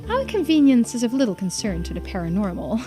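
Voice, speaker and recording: A woman voicing a composed female paranormal investigator with a formal, measured manner in a game. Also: on the high side, big pitch swings, over loud music.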